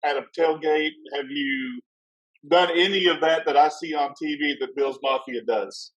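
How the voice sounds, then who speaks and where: A man with a somewhat high voice hosts a podcast. He keeps pressing his guests with playful, teasing questions. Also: normal speed, fairly bright tone, low-quality recording. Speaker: podcast host. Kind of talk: casual podcast interviewing.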